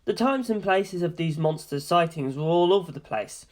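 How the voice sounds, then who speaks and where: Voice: mid-range voice, lively intonation, normal speed. A narrator reading a scripted first-person story for an audiobook.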